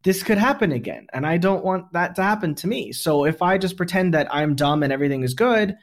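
A man's voice: sits high, lively intonation, a little fast. A man hosting a casual podcast conversation, explaining his thoughts in a reflective way. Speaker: podcast host.

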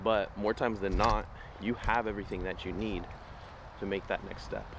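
Deep-voiced man vlogging on a noisy street. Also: fairly even intonation, normal speed. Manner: casual, rambling, upbeat motivational, slangy. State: losing his voice. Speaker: vlogger host. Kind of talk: walking talk to camera, motivational. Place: outdoors on a city street. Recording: noisy outdoor street recording.

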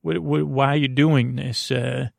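A man hosting a bedtime podcast in a creaky voice, meandering and halting as he loses his thread and circles back. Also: medium pitch, fairly even intonation, dry recording, studio quality. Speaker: podcast host. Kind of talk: rambling bedtime podcast monologue.

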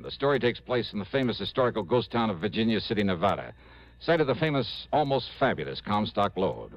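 A man's voice: sits low, a little hiss or hum. A man acting as a sincere American investigator in a mid-century radio drama. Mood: intriguing. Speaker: star of a radio detective drama. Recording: muffled old radio recording with music.

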